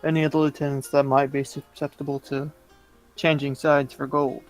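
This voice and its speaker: A man playing a tabletop roleplaying game, chatting casually in character in a deliberate, understated way. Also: medium pitch, level tone, faint music behind.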